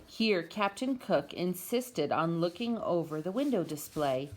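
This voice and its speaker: Female storyteller reading aloud to family: lively, swinging intonation on a lowish voice, expressive narration. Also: unhurried, slight background noise, rough recording. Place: at home.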